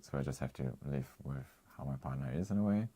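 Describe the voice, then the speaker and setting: A man's voice: very deep voice, fast, dark tone. A man co-hosting a casual chat, reading viewer comments, rambling with frequent chuckles. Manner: rambling, chuckles often, restarts. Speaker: co-host.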